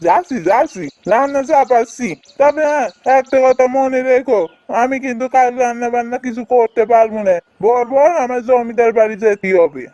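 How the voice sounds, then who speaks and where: A woman's voice: fairly high pitch, fairly quick, hardly any pauses, mellow, no room sound, lo-fi sound, lightly acted. A woman playing a kind, earnest, hard-working village husband in a fairy-tale cartoon. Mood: hurried, firm. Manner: earnest, caring. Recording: music behind the voice.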